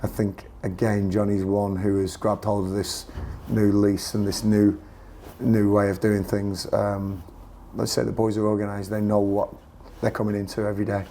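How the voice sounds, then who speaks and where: Brief: man, football manager post-match, gravelly and croaky, low mellow voice, flat delivery. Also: moderate pace, a little hiss or hum. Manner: earnest, repeats himself while searching for words. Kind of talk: post-match press interview.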